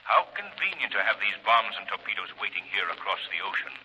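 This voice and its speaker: An actor playing an invisible, commanding avenger in a 1930s radio drama, speaking in an ominous, deliberate and authoritative manner. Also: male voice, on the low side, very animated, pushed and bright, slightly rough, heavily acted. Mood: ominous, confronting. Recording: noisy vintage 1930s radio recording.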